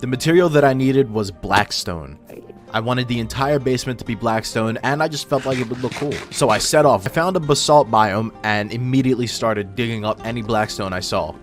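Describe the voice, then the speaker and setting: A man's voice: mid-range voice, brisk. Casual young man narrating gameplay, music behind. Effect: voice filter. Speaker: gaming video host. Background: background music.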